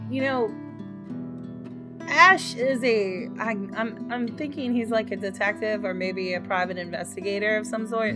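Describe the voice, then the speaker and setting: A woman hosting a gaming video, reading aloud and commenting in a casual, expressive way. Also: medium pitch. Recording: voice over guitar game music.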